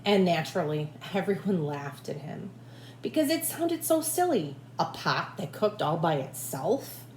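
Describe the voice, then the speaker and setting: A woman reading a storybook aloud like a teacher, with expressive, deliberate phrasing for children. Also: fairly low pitch. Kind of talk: storybook read-aloud narration. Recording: echoey room, faint background noise.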